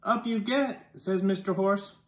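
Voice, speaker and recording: Slow-paced children's storytime reader in a roomy space. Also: mid-range voice. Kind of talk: children's picture book read-aloud. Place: living room. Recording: roomy indoor echo.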